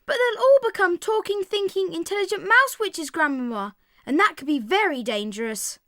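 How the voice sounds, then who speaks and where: Excitable little mouse boy, bright high voice, audio drama. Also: moderate pace, no echo, clean studio sound, heavily acted. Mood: earnest. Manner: earnest, excitable. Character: eager, brave young boy turned into mouse. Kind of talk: audiobook full-cast drama acting.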